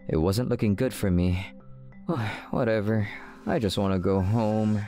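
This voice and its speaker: A man's voice: lowish voice, fairly even intonation, measured pace, dark tone, soft background music, natural voice. A man voicing a guarded teenage schoolboy who narrates his story casually in a manga dub. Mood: deadpan, narrating. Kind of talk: scripted first-person manga dub narration.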